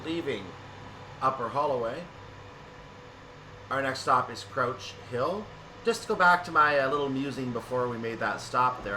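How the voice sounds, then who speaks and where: A man with a mid-pitched voice narrates a game in a casual, explanatory way. He thinks aloud as he plays. A clear layer of ambient noise and rumble sits behind his voice. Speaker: gaming video creator narrating train simulator play. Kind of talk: casual gameplay commentary.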